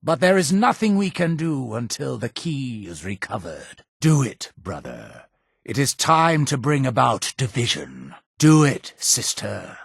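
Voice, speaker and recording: An actor playing a zealous, imperious cult leader in a video game, with a gravelly, husky voice and solemn, grandiose delivery. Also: male voice, medium pitch, very animated, a little slow, no room sound, studio quality, exaggerated voice. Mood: measured, paternal, then urgent, solemn. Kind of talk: theatrical video game dialogue.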